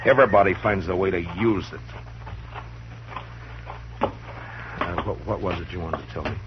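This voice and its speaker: Radio western lead: actor as a firm, calm marshal, lowish voice, dull hissy sound. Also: male voice, normal speed, close to an everyday voice. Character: stern steady frontier marshal. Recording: muffled hissy old radio broadcast.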